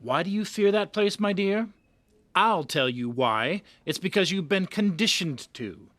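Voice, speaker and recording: Man acting a pushy, animated magazine boss. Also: sits high, a little slow, dry recording. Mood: coaxing. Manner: theatrical, cajoling, patronizing. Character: pushy, persuasive male magazine editor boss, patronizing. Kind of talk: amateur audio drama acting.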